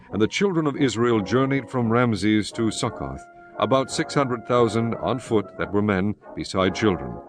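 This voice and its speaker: A man narrating scripture from a script in a solemn, measured, formal way. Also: fairly low pitch. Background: music.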